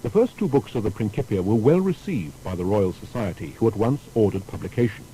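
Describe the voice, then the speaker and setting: A man's voice: on the low side, lively intonation, brisk, mellow, a little hiss or hum, rough recording. A man reading a scripted biographical narration in a formal, storytelling manner. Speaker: documentary narrator.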